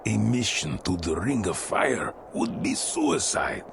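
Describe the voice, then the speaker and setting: Gravelly, dramatic dwarf king. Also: lowish voice, wildly swooping pitch, slow pace, noisy background, exaggerated voice. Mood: grim, doubting. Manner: dramatic, blunt, archaic phrasing. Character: fierce, gruff, proud dwarf king. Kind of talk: fantasy game cutscene acting.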